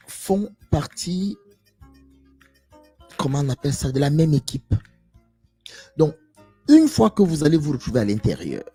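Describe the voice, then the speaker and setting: A man's voice: a little high, dark tone, husky. A man giving an impassioned live monologue to viewers, emphatic and preachy, repeating phrases and asking rhetorical questions. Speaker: live stream speaker.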